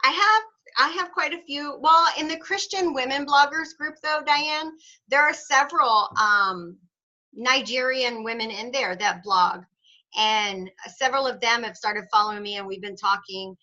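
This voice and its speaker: A woman hosts a casual video call, talking in a chatty, explanatory way and laughing often. Her voice is bright and fairly high. The call audio sounds dull and lo-fi. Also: moderate pace. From North Texas. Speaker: host of membership call. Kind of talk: casual group video chat.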